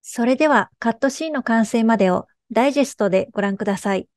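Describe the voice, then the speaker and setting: Female presenter: polite scripted corporate delivery, slightly high pitch, very dry recording. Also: fast, no echo, faint music behind. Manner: polite, formal.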